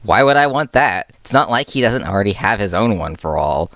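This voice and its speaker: A man's voice: fairly low pitch, very animated, fairly quick, vocal fry, fairly bright tone, no room sound, heavily acted. A man voicing a breezy, mischievous underground superhero in an audiobook, with a casual, cheeky, flippant way of talking. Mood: dismissive, guarded.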